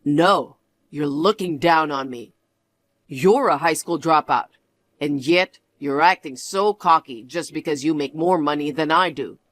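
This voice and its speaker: A cold, arrogant husband talks down to his wife in a lowered, scornful tone, as a woman reader puts him on. The delivery is clipped and sneering, lecturing rather than arguing. The sound is dry and close. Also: measured pace, no room sound. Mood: contemptuous. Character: arrogant husband, dismissive young man. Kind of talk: one-voice drama reading.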